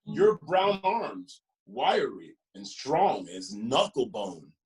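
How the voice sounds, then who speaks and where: A man reading a children's picture book aloud expressively, with gentle emphasis and friendly asides to the listener. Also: mid-range voice, huge pitch swings, takes it slowly. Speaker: storybook reader.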